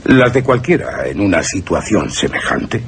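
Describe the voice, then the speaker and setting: An actor playing a cool, mocking aristocratic libertine in a radio horror drama, formal in speech. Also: male voice, low-pitched, very animated, normal speed, a little hiss or hum. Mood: coolly evasive.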